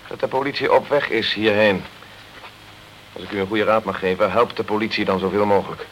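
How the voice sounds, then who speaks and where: An actor playing a pushy, urgent male investigator in a radio crime drama, speaking in a brisk, commanding, insistent way. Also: lowish voice, steady tone, a bit bright, lightly acted. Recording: noisy old radio play recording.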